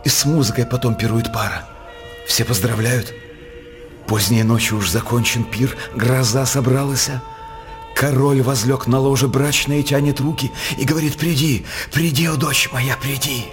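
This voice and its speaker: An actor playing a sly, cynical court jester and barber, speaking insinuating verse in a theatrical radio drama. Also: male voice, medium pitch, fairly even intonation, moderate pace, over music, natural voice. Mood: dark relish.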